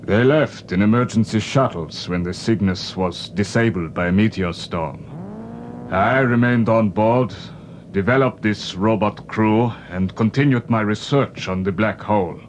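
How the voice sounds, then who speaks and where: An actor playing a formal, imperious scientist captain of a starship in a storybook drama. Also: male voice, fairly low pitch, measured pace, close to an everyday voice. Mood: smooth, proud. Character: bearded, commanding mad scientist captain of a starship. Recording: clear noise behind the voice.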